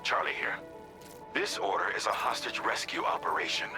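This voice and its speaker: An actor playing a measured, formal male handler who gives precisely worded, scripted briefings in a video game. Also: on the low side, very animated. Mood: grave, deliberate. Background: music.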